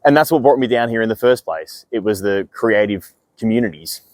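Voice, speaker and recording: A man's voice: on the low side, lively intonation, a little fast, darkish tone, no room sound. A man hosting an interview show, moving from scripted narration into playful, wry conversation. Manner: articulate, wry, playful asides. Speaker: podcast host and interviewer. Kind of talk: scripted narration then casual interview chat.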